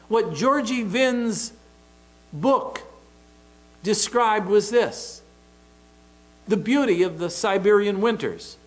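A middle-aged American man preaching a sermon from a church pulpit into a pulpit microphone, emphatic and deliberate. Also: sits high, low-quality recording. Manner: emphatic, deliberate preaching with open hand gestures. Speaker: pastor.

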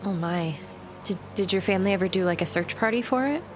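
A woman's voice: mid-range voice, fast, noisy background. A woman talking casually as a player in a tabletop fantasy game, with music underneath. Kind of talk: casual improvised game chat.

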